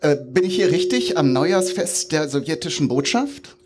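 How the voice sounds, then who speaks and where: A man's voice: on the high side, expressive, normal speed, natural voice. A man playing a courteous, slightly hesitant Soviet guest in a live radio play. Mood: unsure. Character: polite, slightly unsure male Soviet party guest. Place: outdoor festival stage under a tent.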